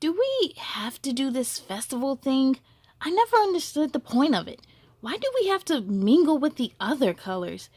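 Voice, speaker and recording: A woman's voice: sits high, sing-song, normal speed, mellow, dry recording. A woman voicing a grumbling, prejudiced male orange square in a storybook, smug, dismissive and complaining.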